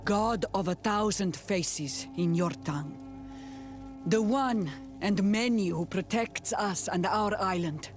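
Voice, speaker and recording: An actress playing a proud, wary island healer in a game, speaking solemnly and formally with bitterness. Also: female voice, mid-range voice, takes it slowly, darkish tone, close to an everyday voice. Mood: reverent, stern. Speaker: non-player character. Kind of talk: scripted game dialogue. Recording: game music behind the voice.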